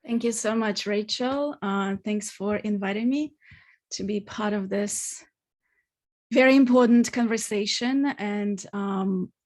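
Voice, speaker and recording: A woman's voice: mid-range voice, measured pace, a little mellow. A woman in her thirties from Ukraine, raised in Australia, speaking as an artist on a conference panel, informal with many fillers. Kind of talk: online conference presentation.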